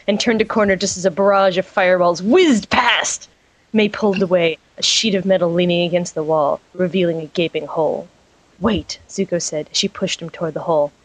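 A woman's voice: lowish voice, dry recording, lightly acted, low-quality recording. A woman giving a dramatic, playfully overdone reading as narrator of a romantic adventure. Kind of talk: dramatic reading of fan fiction.

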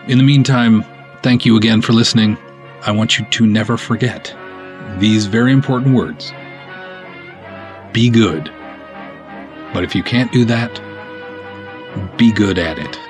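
A man's voice: lowish voice, a little slow, long pauses, music in the background. A man hosting a podcast, talking casually to his listeners as in a sign-off. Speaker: podcast host.

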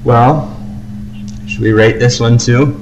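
A man's voice: mid-range voice, fairly even intonation, slow, highs cut off. A man co-hosting a casual fan podcast, talking about things he likes with effusive enthusiasm. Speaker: podcast co-host. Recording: noisy amateur podcast recording.

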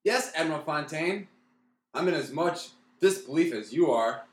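An actor playing a worried, deferential radio producer, earnest and apologetic, in a 1930s-style radio play. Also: male voice, fairly high pitch, lively intonation, normal speed, roomy sound, natural voice.

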